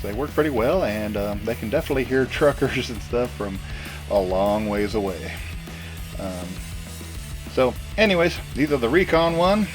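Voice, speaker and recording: A middle-aged man giving a casual, folksy vlog demonstration as the host of a vintage gadget channel. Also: medium pitch. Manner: folksy, chuckles often.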